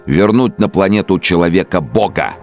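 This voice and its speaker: A man's voice: animated, moderate pace, darkish tone, soft background music. An actor in a radio play playing a fanatical, megalomaniac male dictator, brooding and declaiming in a grandiose, emphatic monologue. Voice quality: very deep, gravelly.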